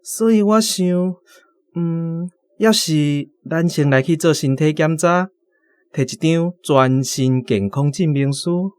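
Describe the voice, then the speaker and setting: A man's voice: on the high side, slow, a little mellow, clear, resonant tone, no echo, clean studio sound. An actor playing an awkward, calculating doctor courting a woman in a radio drama, with a hesitant, hedging way of talking. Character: awkward, calculating male doctor, a picky suitor. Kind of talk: Taiwanese radio drama acting.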